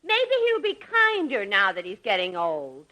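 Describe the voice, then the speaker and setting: An actress playing a soothing, practical wife in 1950s radio comedy, deliberate and reassuring. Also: female voice, medium pitch, huge pitch swings, very noisy. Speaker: comic actress. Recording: 1950s radio broadcast, audience behind. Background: studio audience.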